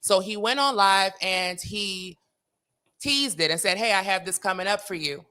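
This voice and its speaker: A woman's voice: medium pitch, animated, moderate pace, bright, forceful tone. A middle-aged woman from Los Angeles telling gossipy stories as an interview guest, brash, sassy and emphatic. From Los Angeles, United States. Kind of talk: gossipy storytelling.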